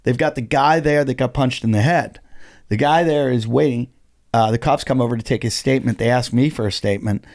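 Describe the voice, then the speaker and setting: A middle-aged man, a stand-up comedian, telling stories in a casual podcast chat, animated and swearing freely. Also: mid-range voice, brisk. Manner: animated storytelling, heavy swearing.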